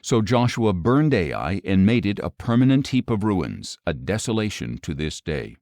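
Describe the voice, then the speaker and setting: Deep-voiced man reading scripture, dry sound. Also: very animated, moderate pace, darkish tone, dry recording. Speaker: audiobook Bible narrator.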